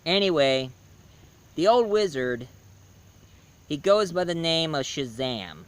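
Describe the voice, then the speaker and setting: A man giving a casual spoken review, hoarse, rambling and correcting himself mid-sentence. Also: fairly high pitch, sing-song, takes it slowly. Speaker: movie and comics reviewer.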